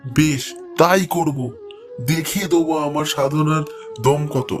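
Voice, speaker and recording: A man's voice: a little high, fairly even intonation, unhurried, lightly acted. Man playing an earnest village husband and occult devotee. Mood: defiant. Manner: earnest, pleading, repeats himself. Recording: music behind the voice.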